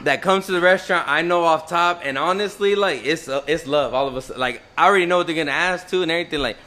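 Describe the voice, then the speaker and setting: A man hosting a casual podcast, talking in a hyped, slangy, animated way and tossing in Spanish phrases. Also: on the high side, quick pace. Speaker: podcast host.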